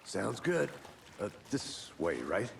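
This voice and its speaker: A very deep-voiced male detective in a video game, with faint noise behind him. He is wry and skeptical, answering in dry, casual, laconic remarks. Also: very animated, slow pace, vocal fry, exaggerated voice. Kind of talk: video game acting, casual investigative dialogue.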